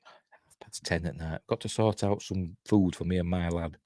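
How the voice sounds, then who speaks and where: A man's voice: low-pitched, fairly even intonation, moderate pace, warm tone, no echo, studio quality. A middle-aged man with a Yorkshire accent, hosting a casual livestream in a rambling, chatty way with self-deprecating asides. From Yorkshire, England. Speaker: channel host reviewing TV.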